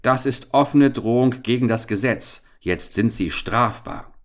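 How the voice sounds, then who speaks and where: A man's voice: medium pitch, measured pace, voice pushed up, lightly acted. A man reading aloud and voicing a stiff, formal army sergeant delivering demands in a storybook tale. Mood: demanding. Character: stiff, officious adult male army sergeant envoy.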